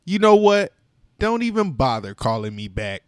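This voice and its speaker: A man's voice: high-pitched, very animated, moderate pace, smooth, dry recording, studio quality, pitched above the performer's own voice. A man voicing a grumpy, grudge-holding male sour grape in a storybook read-aloud. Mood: scowling. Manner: growling, bitter, snappish.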